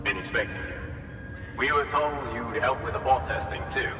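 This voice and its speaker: Man acting game depot worker, easygoing, businesslike, medium pitch, long reverb, noisy background. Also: normal speed, natural voice. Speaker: distribution center staffer. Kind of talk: video game cutscene acting. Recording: distant, echoey hall with noise.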